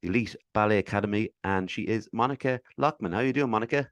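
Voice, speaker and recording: A man hosts a podcast in a low voice, asking friendly questions that wander and restart. The sound is close and dry, with no room echo. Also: quick pace. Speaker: podcast host. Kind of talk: casual interview chat.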